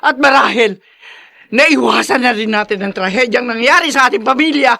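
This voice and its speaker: A woman's voice: fairly high pitch, normal speed, gravelly, pushed and bright. A woman acting in a radio drama as a distraught, scolding middle-aged mother, pleading and emotional.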